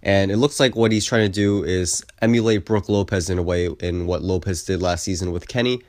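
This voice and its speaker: A man's voice: low voice, fairly quick, no echo, clean studio sound. A young man with a congested cold voice, giving a casual basketball recap as a video host and often saying "I definitely think". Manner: easygoing, repeats "I definitely think". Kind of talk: casual sports recap commentary.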